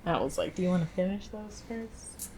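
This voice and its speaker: A woman's voice: fairly low pitch, normal speed, a crowd in the background. A woman co-hosting a casual chat show, talking in a chatty way and retelling her own reactions. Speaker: podcast co-host. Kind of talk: casual chat reviewing a movie.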